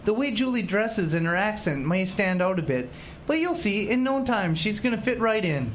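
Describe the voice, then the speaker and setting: A woman's voice: mid-range voice, sing-song, normal speed, lightly acted. A woman playing a cheerful, patient young small-town husband in an audio drama, upbeat and reassuring. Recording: noticeable background noise.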